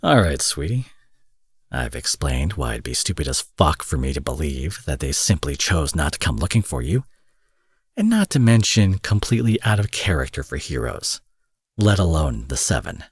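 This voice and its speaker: A man acting out a cocky, foul-mouthed young supervillain in an audio roleplay, husky, mocking and possessive, taunting his captive with drawled pet names. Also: rumbling low, big pitch swings, normal speed, no echo, heavily acted. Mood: mocking, insistent. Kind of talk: dramatic roleplay monologue addressed to the listener.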